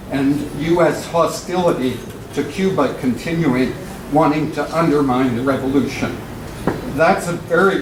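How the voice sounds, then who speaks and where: Man asking from the floor, echoey. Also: on the high side. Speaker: audience member asking a question. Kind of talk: question from the floor. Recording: echoey far-off room recording with noise.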